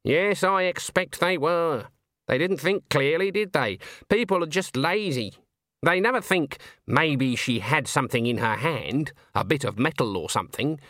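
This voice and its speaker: A man voicing a stern, haughty old woman witch in an audiobook, clipped and deadpan. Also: on the high side, moderate pace, no room sound, studio quality, voice pushed up. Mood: stern, irritated. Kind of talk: audiobook character acting.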